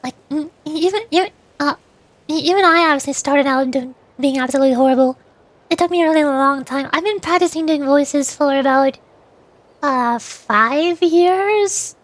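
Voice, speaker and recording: A woman's voice: high-pitched, moderate pace, no room sound. A woman chatting casually on a livestream, rambling, repeating and correcting herself as she talks about voice acting. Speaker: streamer and amateur voice actor.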